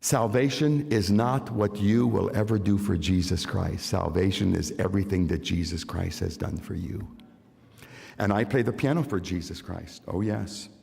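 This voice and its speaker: A man's voice: low-pitched, moderate pace, creaky, a little mellow. An older man giving an emphatic evangelistic testimony in a church, repeating key phrases and speaking directly to his listeners. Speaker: concert pianist giving testimony. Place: large church sanctuary. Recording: long hall reverb.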